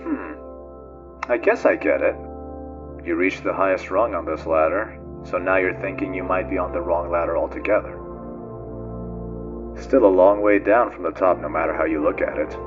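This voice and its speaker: Wry, low-voiced freighter co-pilot acted by a man. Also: fairly even intonation, normal speed, pauses often, dull audio, music in the background, lightly acted. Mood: thoughtful, probing. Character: cocky, ambitious male freighter co-pilot. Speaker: voice actor in a fan dub. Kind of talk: dramatic game fandub dialogue.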